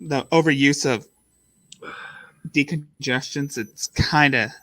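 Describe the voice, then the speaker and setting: Bright-voiced man with a nasal edge. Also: medium pitch, no room sound, rough recording. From Arizona, US. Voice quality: a bit nasal. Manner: halting, restarts mid-thought. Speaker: stream co-host. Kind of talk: casual stream chat.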